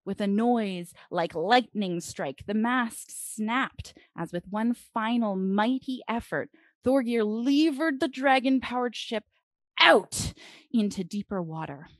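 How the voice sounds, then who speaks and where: A woman reading a children's storybook aloud dramatically, with deliberate phrasing and expressive pauses. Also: a little high, no echo.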